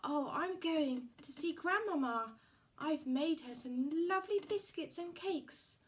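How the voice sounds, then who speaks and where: Woman as a high-pitched, innocent little girl. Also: animated, unhurried, slight background noise. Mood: happy. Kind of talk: puppet show storytelling.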